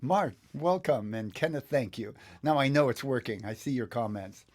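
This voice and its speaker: A man's voice: medium pitch, lively intonation, moderate pace, a little mellow. An American man in his sixties teaching on a livestream in a casual, conversational, easygoing way. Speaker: online guitar teacher and livestream host. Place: small indoor home studio.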